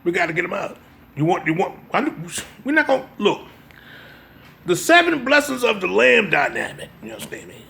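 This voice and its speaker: A man lectures on religion in a heavily creaky, gravelly voice that sits fairly high. He is emphatic and informal, circling back over phrases and checking that listeners follow. There is faint noise behind him. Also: big pitch swings, a little fast, bright, forceful tone. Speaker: religious speaker reading from a book and commenting. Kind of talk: informal religious lecture mixing read-aloud passages and commentary.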